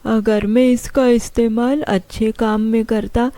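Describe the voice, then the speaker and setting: Slow-talking cartoon boy thief. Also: on the high side, flat delivery, mellow, slight background noise. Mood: remorseful. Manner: slow, exclamatory, talking to himself. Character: sly, whining young boy who steals a magic flute. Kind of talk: Hindi children's cartoon dialogue. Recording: music under the voice.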